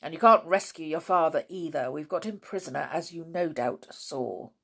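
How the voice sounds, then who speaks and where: A menacing storybook villain, played by a woman, loud and bullying. He snaps out clipped orders and threats with open scorn, like someone used to being obeyed. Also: deep voice, moderate pace, gravelly, husky, full character voice. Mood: scornful. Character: menacing angry man with a revolver, bullying and scornful.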